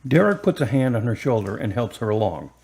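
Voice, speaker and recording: A male narrator reads scripted lines for a radio drama. His voice is of average pitch for a man. He sets out the action between scenes of dialogue. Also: sing-song, brisk, darkish tone.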